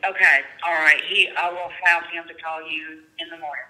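A woman's voice: low voice, steady tone, a little fast, sharp tone. A middle-aged woman with a Southern US accent, talking politely and casually over a speakerphone call. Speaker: prank call recipient. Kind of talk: polite casual phone conversation. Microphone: telephone heard through speakerphone. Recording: tinny phone call on speaker.

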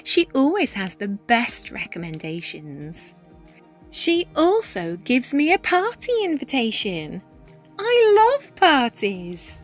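A woman reading a children's picture book aloud in an expressive, animated manner with dramatic pauses and emphasis. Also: on the high side, faint music behind. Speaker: read-aloud storyteller, teacher-style reader.

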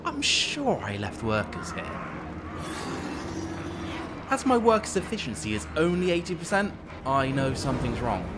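A man's voice: mid-range voice, sing-song, unhurried, pauses often, noisy background. A man giving scripted, tongue-in-cheek strategy game narration in a wry, theatrical, playfully villainous manner.

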